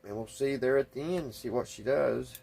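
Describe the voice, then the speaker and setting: A man with a slightly husky voice, talking casually and folksily through hands-on repair work, thinking aloud without hurry. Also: mid-range voice, warm tone, a little hiss or hum. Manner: folksy, unhurried, thinking aloud while working. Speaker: hobbyist radio restorer and video host. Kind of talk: casual hands-on workbench narration.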